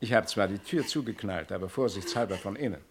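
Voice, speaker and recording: An actor playing a shrewd, insistent police commissioner who questions with pressing authority in a German radio crime drama. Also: male voice, fairly low pitch, fast talker, slight background noise, lightly acted. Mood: commanding.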